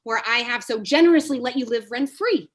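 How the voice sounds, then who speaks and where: Brash, foul-mouthed Hollywood agent, played by a woman, higher-pitched, cocky and needling, comedy table read. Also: fairly quick, lightly acted. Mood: needling, blunt. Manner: cocky, blunt, taunting.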